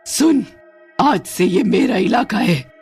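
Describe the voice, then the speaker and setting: A woman's voice: mid-range voice, lively intonation, slow pace, vocal fry, a little mellow, faint music behind. A woman voicing a menacing, commanding female witch who guards her territory in a horror-comedy story. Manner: threatening, commanding.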